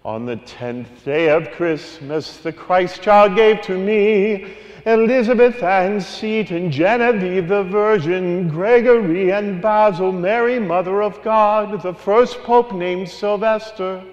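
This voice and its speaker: A male priest giving a homily, singing a long list of saints and then explaining it in a relaxed, conversational way. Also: high voice, slow, in a large hall, lo-fi sound. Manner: sings a long cumulative list, then talks plainly and conversationally. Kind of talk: homily with a sung carol.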